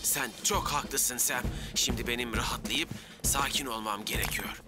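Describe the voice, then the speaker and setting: A man's voice: medium pitch, normal speed, natural voice. An actor playing an earnest, easily flustered young male firefighter in a children's cartoon, fretful and apologetic. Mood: calming down. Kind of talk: animated children's cartoon dub. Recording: music under the dialogue.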